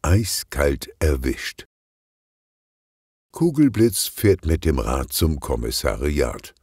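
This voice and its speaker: A man narrates a children's detective story in a low, husky voice with plenty of creak. He takes his time, reading as an audiobook storyteller. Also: big pitch swings, slow, a little mellow, no echo, studio quality.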